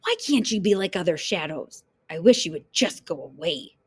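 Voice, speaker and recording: A woman's voice: fairly low pitch, lively intonation, moderate pace, slightly rough, voice pushed down. A woman voicing a small male groundhog from a storybook, fed up and anxious, in a pleading, exclaiming manner. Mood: exasperated.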